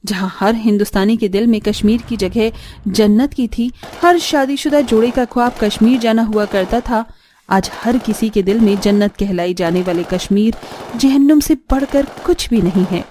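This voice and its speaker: A woman's voice: a little high, normal speed, dark tone, slight background noise. A woman reading scripted, dramatic story narration for a cartoon video.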